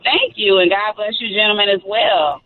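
A woman's voice: mid-range voice, moderate pace. A woman calling in to a radio show, answering politely in short, agreeable replies. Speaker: caller. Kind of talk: phone call into a radio show. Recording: tinny phone line.

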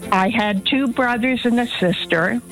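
An older woman, recalling her past in a slow, fond way on a radio show. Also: fairly high pitch, a bit bright, low-quality recording. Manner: slow, fond storytelling with little laughs. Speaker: featured listener. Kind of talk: casual radio interview. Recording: radio broadcast with music underneath.

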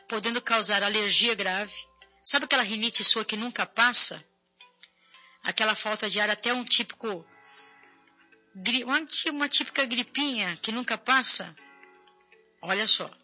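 Woman, Brazilian accent, hoarse, bright piercing tone, chatty TV host, faint music behind. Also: a little high, dull audio. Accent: Brazilian Portuguese. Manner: chatty, addresses viewers directly. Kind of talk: casual talk show, reading viewer messages.

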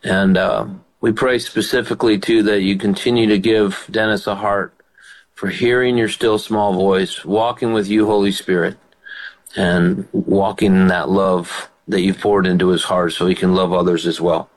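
Low-voiced older pastor hosting radio. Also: male voice, steady tone, normal speed, poor audio. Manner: warm affirmations, fervent praying. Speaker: radio show host and pastor. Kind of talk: call-in radio with prayer.

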